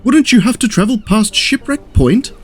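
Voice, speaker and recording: A man's voice: high voice, expressive, a little fast, voice pushed up. A man voicing a polite, earnest male rescue boat in a children's story, speaking up grandly and innocently. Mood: concerned.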